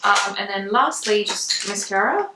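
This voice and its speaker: A woman's voice: mid-range voice, lively intonation, measured pace, noisy background. A woman giving a casual makeup tutorial in a chatty, conversational way. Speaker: makeup vlogger. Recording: roomy echo, distant mic.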